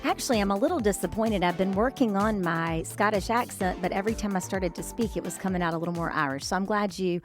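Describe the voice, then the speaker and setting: A woman's voice: mid-range voice, quick pace, hardly any pauses, soft background music. A woman co-hosting a podcast, chatting cheerfully and asking questions. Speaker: podcast co-host. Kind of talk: casual interview chat.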